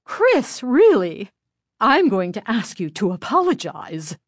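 Woman acting a shrill, outraged music teacher. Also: sing-song, slow, dry recording, clean studio sound, exaggerated voice. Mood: indignant. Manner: shouting, sputtering protests.

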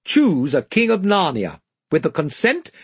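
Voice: mid-range voice, huge pitch swings, measured pace, breathy edge, no room sound, studio quality, voice pushed up, heavily acted. A reader voicing a proud young king who speaks in a regal, commanding way.

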